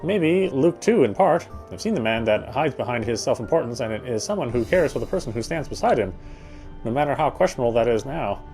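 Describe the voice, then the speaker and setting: A man's voice: medium pitch, lively intonation, brisk, a little mellow. A man reading visual novel narration aloud, expressively, with occasional stumbles over words. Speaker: game streamer. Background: game music.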